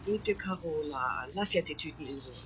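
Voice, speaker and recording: Harried expectant mum, children's audio play, lively intonation, easily flustered, lo-fi tape. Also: female voice, low voice, moderate pace, a little hiss or hum. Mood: exasperated. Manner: exasperated, sighing, easily flustered. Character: harried, pregnant mother, evasive with her curious daughter. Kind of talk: German children's audio drama acting. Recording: dull, lo-fi tape with music behind.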